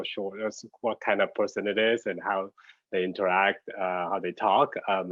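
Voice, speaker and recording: Male guest lecturer, middle-aged, Taiwanese accent, deep register, hesitant delivery, close dry sound with little room. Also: no room sound. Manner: halting, hesitant, searching for words. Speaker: graphic designer and studio head giving a guest talk. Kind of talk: informal online lecture. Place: at home, on a video call. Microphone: computer video call. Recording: dull, lo-fi video call audio.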